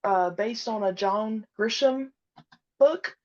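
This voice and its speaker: A woman in her thirties talking casually as an interview guest, with hesitant, halting phrasing. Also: on the high side, muffled recording. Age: 30s. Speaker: podcast guest, audio drama creator.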